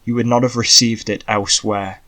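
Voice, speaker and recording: A man's voice: fairly low pitch, little pitch movement, a little fast, fairly bright tone, natural voice. A man voicing a young male sorcerer, intense and declaiming, in an audiobook drama. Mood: stern fury. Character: young male sorcerer, furious and chanting spells, grieving.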